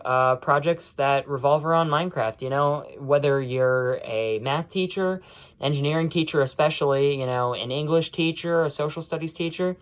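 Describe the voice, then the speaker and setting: A young man with a mid-pitched voice talks as a podcast host in a casual, off-the-cuff way. He rambles from one thought to the next and leans often on "you know" as he goes. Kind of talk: casual unscripted podcast monologue.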